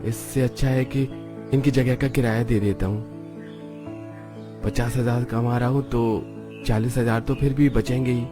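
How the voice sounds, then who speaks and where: A man's voice: mid-range voice, fairly even intonation, unhurried, long pauses, lightly acted. Man acting anxious, submissive young street vendor, music behind. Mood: polite, resigned.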